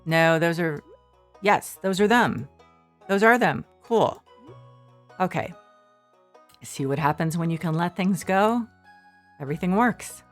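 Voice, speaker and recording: A woman streaming a farming game from her shared living room, rambling softly with many fillers. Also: fairly low pitch, expressive, slow, pauses often, soft background music. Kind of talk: casual gameplay commentary.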